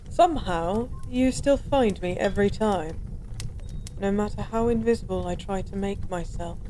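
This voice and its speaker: A woman's voice: mid-range voice, dark tone, a little hiss or hum, natural voice. A woman playing a weary, lonely former goddess in an intimate audio roleplay, speaking wistfully and philosophically in formal, old-fashioned wording. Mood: dreary, softly welcoming. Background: bar ambience.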